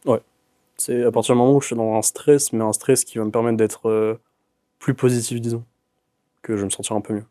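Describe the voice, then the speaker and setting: A young man of nineteen, a guest on a youth panel, answering in a thoughtful, measured way. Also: medium pitch, steady tone, warm tone, dry recording, clean studio sound. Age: young adult. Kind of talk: casual discussion.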